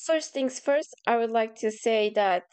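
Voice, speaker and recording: A woman's voice: on the high side, measured pace, darkish tone, clean tone. A woman from Croatia with a Croatian accent, a non-native English speaker presenting a football transfer review to camera, partly reading her notes, nervous and apologetic and often laughing at herself. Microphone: camera at home, simple setup.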